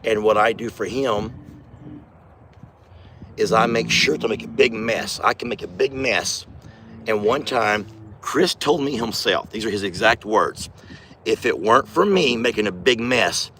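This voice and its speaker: Hoarse man, folksy farm vlog. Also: fairly low pitch, unhurried, slight background noise. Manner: folksy, chatty, talks softly to his bull. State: hoarse, lost his voice. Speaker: farm vlogger, channel host. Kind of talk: casual outdoor vlog. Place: outdoors on a farm by a bull pen. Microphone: handheld phone camera.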